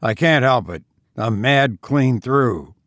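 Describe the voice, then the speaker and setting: A gruff storybook husband and father, read by a man. He is stubborn and irritable, speaking in a rough, emphatic growl. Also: medium pitch, lively intonation, slow, a bit bright, dry recording, clean studio sound. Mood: angry, staunch.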